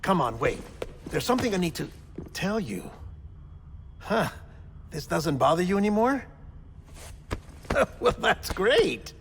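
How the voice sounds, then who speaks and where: A chatty, fussy doctor. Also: male voice, high-pitched, big pitch swings, slow, long pauses, a little hiss or hum, full character voice. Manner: chatty, explanatory. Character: fussy, talkative male doctor, chatty and anxious. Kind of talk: video game cutscene dialogue. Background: music.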